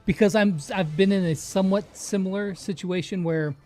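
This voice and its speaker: A woman's voice: medium pitch, steady tone, moderate pace, darkish tone. A woman hosting a casual interview, informal and affirming as she chats with her guest. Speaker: interviewer, host.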